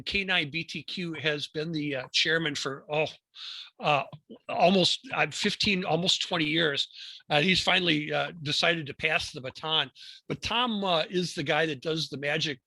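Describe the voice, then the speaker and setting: Male American club presenter. Slightly higher-pitched, crisp bright tone, hesitant informal delivery with doubled-back phrases. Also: no echo. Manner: halting, repeats words and phrases, hesitant delivery. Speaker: amateur radio club presenter.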